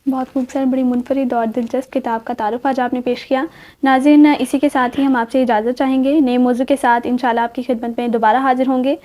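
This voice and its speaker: A woman's voice: high-pitched, little pitch movement, brisk, dark tone. A woman with a Pakistani accent hosts a literary programme in formal, courteous and polished phrasing. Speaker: host.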